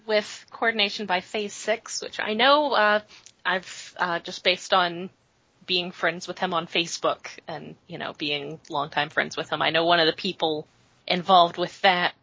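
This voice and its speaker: A woman's voice: lowish voice, steady tone, unhurried, vocal fry, bright, forceful tone, husky, dry recording. A woman hosting a podcast in casual chat, rambling and chatty, with plenty of fillers while recapping. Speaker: podcast host. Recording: dull lo-fi podcast audio.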